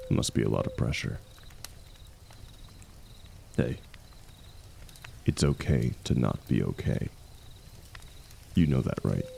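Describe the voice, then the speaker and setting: Guy doing a gruff kindly old-hand fantasy merc, super low gravelly voice, teasing and comforting, intimate roleplay audio. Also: a little hiss or hum, exaggerated voice. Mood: tender, serious. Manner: gentle, teasing, unhurried, colloquial. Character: seasoned ex-mercenary warrior man, gruff, kindly, teasing companion.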